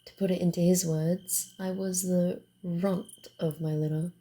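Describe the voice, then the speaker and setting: A woman voicing a proud, playful vampire wanderer in an ASMR roleplay, halting and teasing, easily flustered. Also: fairly low pitch, flat delivery, dark tone, lightly acted. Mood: wry, reflective. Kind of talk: ASMR roleplay, acted one-sided dialogue.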